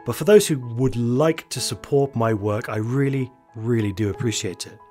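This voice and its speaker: A man's voice: medium pitch, moderate pace, darkish tone, faint music behind. Male horror podcast host, scripted outro.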